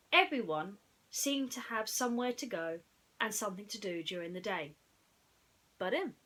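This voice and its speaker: Woman, children's storyteller: very lively intonation, bright delivery, playful and theatrical with chatty asides. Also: medium pitch. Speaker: children's bedtime story reader.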